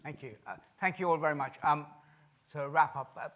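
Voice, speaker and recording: A man moderating a conference session, briskly asking for short answers. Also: a little high, a bit bright. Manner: brisk, wrapping up. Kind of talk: chairing a conference panel.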